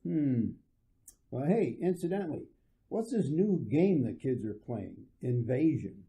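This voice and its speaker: A man playing an affable suburban husband and father in an old-fashioned radio drama, casual, folksy and gently concerned. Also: medium pitch, lively intonation, a little slow, slight background noise. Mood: relaxed, teasing.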